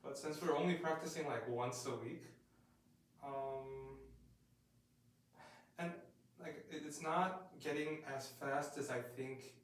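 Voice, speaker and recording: A man's voice: medium pitch, steady tone, takes it slowly, pauses often. Encouraging male tutor, echoey room. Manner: encouraging, gently instructive. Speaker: speaking tutor. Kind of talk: coaching feedback in practice session. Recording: very echoey room, distant mic, faint noise.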